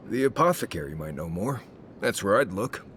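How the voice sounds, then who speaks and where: Lively low-voiced male tracker companion. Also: moderate pace, slight background noise. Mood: musing, matter-of-fact. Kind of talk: video game voice acting.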